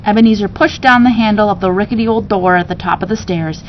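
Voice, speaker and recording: A woman narrates a children's book expressively, in a medium-pitched voice. She reads as a storyteller to young listeners. The recording is a little dull, with faint rumbling noise behind her. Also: fast. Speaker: story reader.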